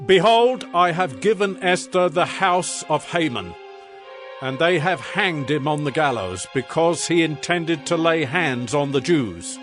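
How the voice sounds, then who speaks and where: An actor playing a grave, commanding Persian king in a dramatized scripture reading, stately and deliberate. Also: on the high side, animated, fairly bright tone. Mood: regal, decreeing. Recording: music behind the voice.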